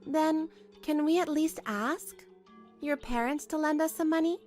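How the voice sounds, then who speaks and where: A woman's voice: sits high, measured pace, darkish tone. A woman playing an anxious, earnest young wife who narrates her own troubles in a dramatised manga story. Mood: pleading. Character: put-upon young wife, anxious, earnest, long-suffering. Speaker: narrator and lead of a manga dub. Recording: music behind the voice.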